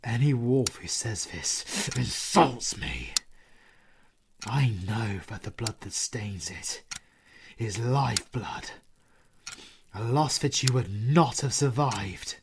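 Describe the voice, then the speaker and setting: Male actor for British werewolf villain, audio drama: grief-stricken, powerful, anguished half-whispers, drawn-out delivery, noisy recording. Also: mid-range voice, animated. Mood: wistful, intense. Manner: slow, theatrical, anguished, often breathy and half-whispered. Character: grieving male werewolf villain, bitter and powerful, mourning his mother. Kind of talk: scripted audio roleplay monologue.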